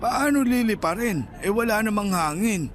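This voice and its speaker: A high-voiced grumbling old husband. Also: male voice, animated, moderate pace. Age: older. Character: grumbling elderly husband, humble street vendor. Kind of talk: radio drama acting.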